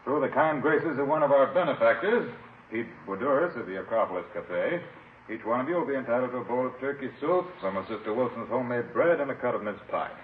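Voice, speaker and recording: A man's voice: mid-range voice, animated, fast talker, slight background noise, natural voice. An actor playing a pious, folksy mission preacher who is protective of his helper, in 1950s radio drama style. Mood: fervent preaching. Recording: muffled vintage radio recording, faint music.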